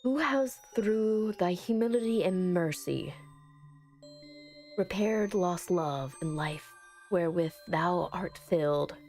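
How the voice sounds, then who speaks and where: A woman voicing an earnest, anxious summoner in a visual novel, reading in a measured, solemn way. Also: on the low side, animated, darkish tone, faint music behind. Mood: solemn, reverent. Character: earnest, anxious narrator summoning a ghost girlfriend.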